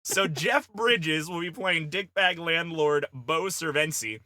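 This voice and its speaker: A man bantering casually on a comedy podcast, pitching ideas in a blunt, profane, emphatic way. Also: slow, bright, forceful tone. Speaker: podcast panelist pitching a film idea.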